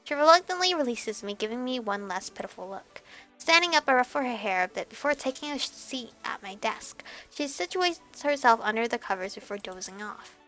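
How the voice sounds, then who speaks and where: A woman reading a visual novel aloud as expressive narration, with animated reactions and some stumbling over words. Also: on the high side, brisk, rough recording. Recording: background music behind voice. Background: game music.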